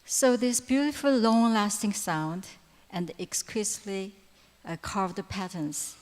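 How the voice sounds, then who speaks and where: A woman giving a lecture at a conference, pausing often with fillers and correcting herself. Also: mid-range voice, expressive. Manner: hesitant, frequent fillers and self-corrections. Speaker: conference speaker. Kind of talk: lecture presentation. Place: large conference hall. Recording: reverberant hall sound.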